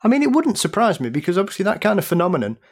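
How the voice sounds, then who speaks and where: A man's voice: sits high, very animated, racing. Man telling history stories, laughing. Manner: laughs often, tells history in detail. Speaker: podcast guest. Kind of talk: casual podcast conversation.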